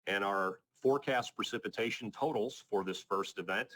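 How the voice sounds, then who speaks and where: A man presenting an informal technical weather briefing as a forecaster, speaking in a measured, matter-of-fact, plain-spoken way. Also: fairly low pitch, pushed and bright. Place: conference call presentation.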